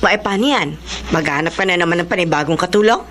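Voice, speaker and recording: An actress playing an outspoken, exasperated younger sister in a Filipino radio drama, frank and sarcastic, with a Filipino accent. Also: female voice, lowish voice, quick pace, a bit bright, natural voice.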